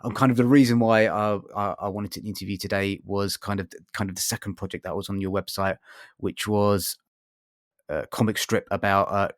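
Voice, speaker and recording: Low-voiced rambling male podcast host. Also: fairly even intonation, normal speed, dry recording, studio quality. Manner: rambling questions with many fillers. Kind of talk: casual interview.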